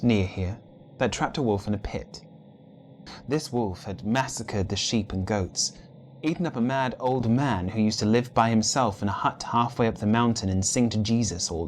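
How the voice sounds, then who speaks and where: Low-voiced man telling a dark tale. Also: a little hiss or hum. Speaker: reader of a short story. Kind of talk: dramatic literary storytelling, scripted narration. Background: instrumental background music.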